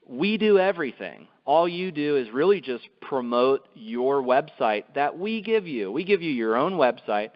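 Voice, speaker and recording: A man with a medium-pitched voice delivers an informal business pitch on a conference call. He talks conversationally and persuasively, often leaning on "you know." The audio is dull and lo-fi, with a long reverberant tail around his voice. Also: very animated, moderate pace, few pauses. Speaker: company executive presenting.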